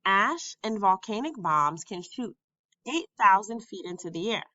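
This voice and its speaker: Woman, lively pitch, slow educational read-aloud, careful enunciation, dull lo-fi recording. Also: dry recording. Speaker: teacher reading a textbook aloud.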